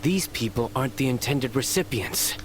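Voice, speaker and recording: An actor playing a stern, composed lawman general in a video game, deadpan and authoritative. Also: medium pitch, normal speed, lightly acted. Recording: game capture with clear background noise.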